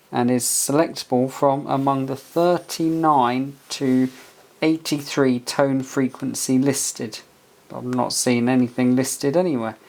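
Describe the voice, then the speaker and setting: A man doing a casual hands-on product review, muttering as he thinks aloud and reads bits from a manual. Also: medium pitch. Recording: clear background noise with handling clicks.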